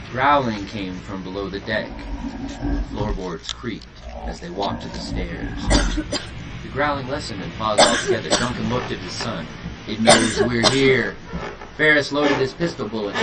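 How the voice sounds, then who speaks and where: Over clearly noisy ambient sound effects, a man narrates a horror tale. His voice sits on the high side, and he delivers the scripted story with drama and suspense.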